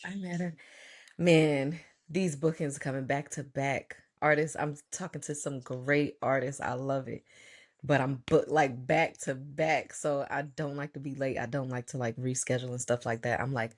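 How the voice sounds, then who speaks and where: A man's voice: medium pitch, fairly even intonation, moderate pace. A man hosting a podcast, chatting casually and laughing at himself. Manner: chatty, self-deprecating, laughs a lot. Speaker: podcast host. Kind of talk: casual interview chat.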